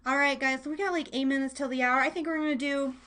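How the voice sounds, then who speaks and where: A woman with a fairly high voice hosts a casual live radio show. She speaks playfully and loosely, wandering off and interrupting herself. She addresses her listeners directly, as if chatting with them. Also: steady tone, fast, sharp tone. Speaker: live radio and podcast host.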